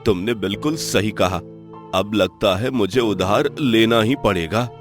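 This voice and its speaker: A man's voice: lowish voice, a little slow, soft background music, close to an everyday voice. A man playing an honest, poor village farmer who pleads and laments in a moral story. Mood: resigned.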